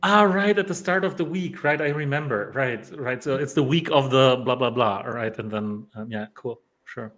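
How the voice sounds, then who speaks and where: Polish-born guy streaming dev chat, rambly, um-heavy, middle-pitched voice. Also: moderate pace, hardly any pauses, a bit bright. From Poland. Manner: rambling, many fillers and restarts. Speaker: game developer streamer. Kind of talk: casual live stream chat.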